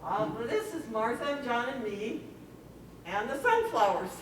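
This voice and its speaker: A woman giving casual commentary on her photos at a club meeting, halting and informal, with chuckles between remarks. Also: on the high side, very animated. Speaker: camera club member presenting photos. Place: library meeting room, recorded from afar. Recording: very echoey room, distant mic, noisy.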